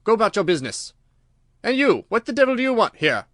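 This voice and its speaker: A man's voice: high-pitched, big pitch swings, quick pace, no room sound, clean studio sound, voice pushed up. A man voicing a fierce, blustering elderly bully of a horse trainer in a storybook reading. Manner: blustering, shouting.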